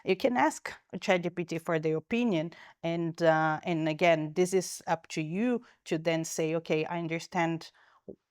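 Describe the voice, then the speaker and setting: Woman, low voice, smooth and clear, dry close sound. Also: normal speed, a little mellow, dry recording. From Brazil. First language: Portuguese. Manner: thoughtful, frequent restarts and fillers. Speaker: company founder and guest. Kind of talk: interview answers.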